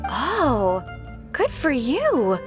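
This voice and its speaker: Snarky aloof girl agent in an anime game, highish voice, lots of swing, dismissive. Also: huge pitch swings, drawn-out words, music in the background, full character voice. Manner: dry, dismissive. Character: aloof, blunt, snarky young woman agent.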